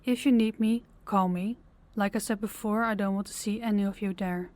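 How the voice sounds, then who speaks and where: A woman playing a composed, stern female crime boss in an audio drama, speaking deliberately and with authority. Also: mid-range voice, steady tone, darkish tone, close to an everyday voice. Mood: firm, commanding. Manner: authoritative, deliberate, clipped orders. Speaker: voice actor. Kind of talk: audio roleplay drama acting.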